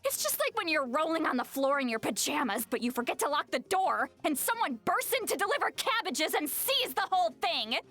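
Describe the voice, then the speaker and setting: Game role: ageless little girlish being, bright, very high voice, flustered and defensive. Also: sing-song, fairly quick, hardly any pauses, soft background music, full character voice. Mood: embarrassed ranting. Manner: defensive, stammering, dramatic. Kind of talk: video game character acting.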